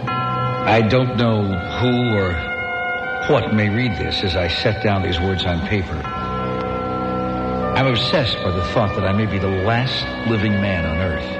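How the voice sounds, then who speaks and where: Deep-voiced haunted survivor astronomer for a radio play, played by a man, solemn measured narration, long reverb. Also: muffled recording, natural voice. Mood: brooding. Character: haunted lone male astronomer survivor, middle-aged. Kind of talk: 1990s radio drama acting, first-person narration.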